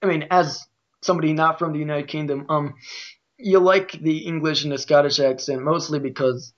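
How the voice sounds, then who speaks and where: A man with a Midwestern American accent hosts a casual podcast chat. His voice sits a little higher than average. The recording is somewhat dull and lo-fi. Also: fairly even intonation, normal speed. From Midwest United States. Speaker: podcast host.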